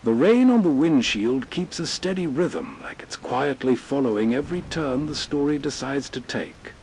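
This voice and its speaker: A man narrating soothingly in a slow, relaxed way. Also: mid-range voice, husky.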